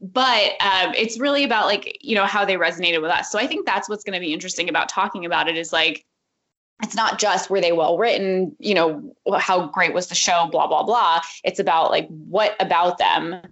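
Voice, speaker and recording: A woman co-hosting a podcast, talking in a relaxed, chatty way with lots of fillers. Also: on the low side, fairly quick. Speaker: podcast co-host. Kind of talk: casual podcast chat. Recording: slightly dull lo-fi audio.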